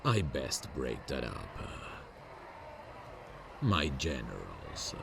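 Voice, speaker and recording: A man playing an imposing, amused demon king in a romantic fantasy ASMR roleplay, speaking in a courtly, formal, deliberate way with a gravelly voice. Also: big pitch swings, noisy background, full character voice. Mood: composed, commanding. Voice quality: gravelly, very deep.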